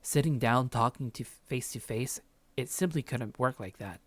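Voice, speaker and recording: A man's voice: medium pitch, normal speed, no room sound. A man reading visual novel narration aloud as a let's play streamer, casual and sometimes stumbling over the text. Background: game music.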